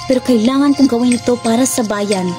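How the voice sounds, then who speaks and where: A brave, caring young activist acted by a woman, with a high voice. The delivery is earnest and dramatic in amateur radio drama style, over faint music. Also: fairly quick, natural voice. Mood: solemn, resolute.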